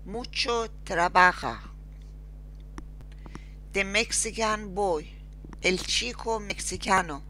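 A woman with a Persian accent teaching Spanish. She speaks slowly and deliberately, pausing after each word in repeat-after-me drills. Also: mid-range voice, bright, forceful tone, a little hiss or hum, poor audio. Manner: slow, deliberate, spells out each word with pauses. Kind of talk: slow screen-recorded language lesson with repeat-after-me drills.

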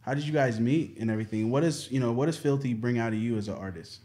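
A man interviewing a rapper in a relaxed chat, easygoing and affirming, asking questions in slang. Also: mid-range voice, quick pace.

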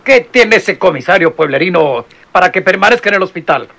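A man's voice: high voice, expressive, fairly quick, creaky, pushed and bright, exaggerated voice. An actor playing a curt, dismissive male police captain in an old radio drama. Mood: scornful. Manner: curt, scornful. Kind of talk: 1960s radio drama acting.

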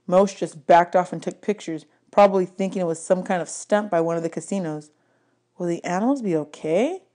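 A woman's voice: on the low side, steady tone, brisk, warm tone. A woman narrating a novel aloud as an audiobook reader. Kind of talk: read-aloud story narration.

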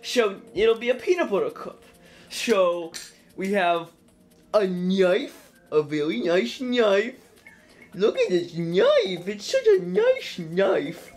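A woman's voice: medium pitch, big pitch swings, slow pace, a little mellow, faint music behind. Rambling young woman narrating a puppet skit. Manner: rambling, self-correcting, jokey. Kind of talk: casual narration of a plush puppet cooking skit. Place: home kitchen and dining room.

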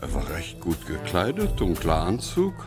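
An actor playing an eyewitness giving an account in a radio crime drama. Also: male voice, low-pitched, wildly swooping pitch, moderate pace, music in the background. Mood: recalling. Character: male witness describing an intruder. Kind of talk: German radio play acting.

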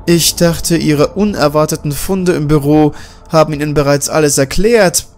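A man's voice: a little high, normal speed, darkish tone, slight background noise, voice pushed up, lightly acted. A man voicing a polite, cynical male mad-scientist doctor who speaks with mocking courtesy. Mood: menacing polite. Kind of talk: audiobook character reading.

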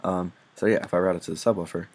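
A man's voice: low voice, steady tone, fast, darkish tone. A young man giving a casual, unscripted tutorial, informal and sweary, poking fun at himself as he explains. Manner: informal, sweary, self-deprecating. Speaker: music production tutorial presenter.

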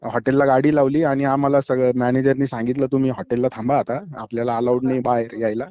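A man's voice: medium pitch, level tone, quick pace. A man with a Marathi accent giving an eyewitness account over the phone in a hesitant, conversational way. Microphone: phone call. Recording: dull lo-fi phone call.

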